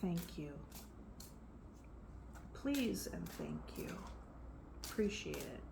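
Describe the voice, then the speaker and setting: A soft-spoken woman giving a casual tarot reading, chatty and relaxed with frequent fillers. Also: on the low side, drawn-out words, creaky. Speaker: tarot reader. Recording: faint background noise.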